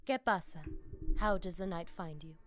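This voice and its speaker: An actress voicing a composed female vampire devoted to ritual in a video game, with formal, measured phrasing. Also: fairly low pitch, soft background music, close to an everyday voice. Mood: courteous. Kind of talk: scripted video game dialogue.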